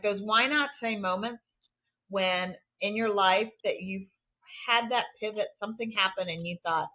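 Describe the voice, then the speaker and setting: A woman in her sixties hosting a podcast, upbeat and chatty, rambling a little as she talks. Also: medium pitch. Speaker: podcast host. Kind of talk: conversational podcast interview. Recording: tinny phone-like line.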